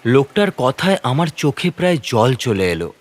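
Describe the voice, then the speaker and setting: A man's voice: medium pitch, big pitch swings, normal speed, no echo. A man narrating a first-person horror story in a suspenseful, theatrical manner.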